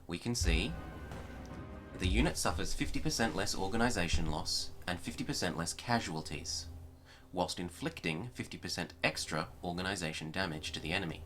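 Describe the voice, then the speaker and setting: A man narrating a scripted gaming tutorial in a formal, measured, precise manner. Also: low voice, animated, a bit bright. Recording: music behind the voice. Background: music.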